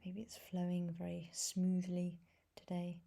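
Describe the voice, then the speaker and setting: A woman leading a guided meditation as a teacher, speaking softly and gently in a breathy voice with long pauses between phrases. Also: lowish voice, completely flat delivery. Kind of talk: guided body scan meditation.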